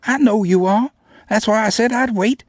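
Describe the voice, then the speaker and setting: A man's voice: high voice, moderate pace, no room sound, studio quality, pitched above the performer's own voice. A man voicing a snarky young woman scientist who crudely teases over an intercom. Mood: mocking. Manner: mocking, crude teasing.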